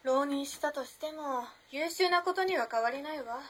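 Woman playing an enigmatic young woman, high voice, poised, teasing, old-fashioned polite phrasing, radio drama. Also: normal speed, fairly bright tone. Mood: playfully mysterious. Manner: cool, feminine old-fashioned politeness, playful. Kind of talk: Japanese radio drama acting.

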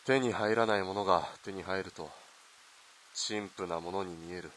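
A man's voice: low-pitched, moderate pace, fairly bright tone, a little hiss or hum, rough recording. A man playing a corrupt, callous army lieutenant colonel in a radio drama, haughty and commanding. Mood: contemptuous, angry.

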